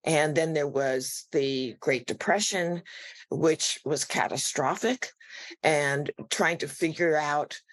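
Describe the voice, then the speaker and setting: A woman's voice: deep voice, slow pace. A woman explaining history conversationally as an interviewed expert. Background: music.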